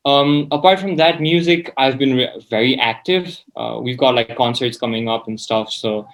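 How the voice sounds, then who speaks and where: A man's voice: mid-range voice, steady tone, moderate pace, fairly bright tone. Casual young man, hesitant and informal. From Nepal. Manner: hesitant, informal, peppers speech with "like". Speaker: student guest. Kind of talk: casual interview chat.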